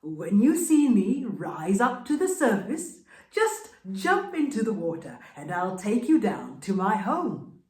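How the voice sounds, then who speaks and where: Female narrator as sly male crocodile, greedy, drawn-out savoring speech, animated pitch, folktale villain, echoey room. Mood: falsely warm. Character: sly, hungry male crocodile feigning warm friendship.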